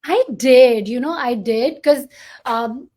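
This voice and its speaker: Woman, forties, fashion designer guest, enthusiastic, talks to viewers, video call, fairly high voice. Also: takes it slowly, darkish tone. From India. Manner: enthusiastic, addresses viewers directly. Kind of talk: casual chat.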